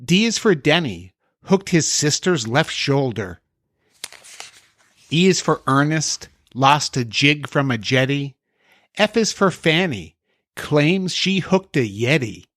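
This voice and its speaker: A man's voice: on the high side, animated, slow, fairly bright tone, dry recording. Man reading storybook style, dry studio sound. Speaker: podcast host. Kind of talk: scripted comedy dialogue and storybook reading.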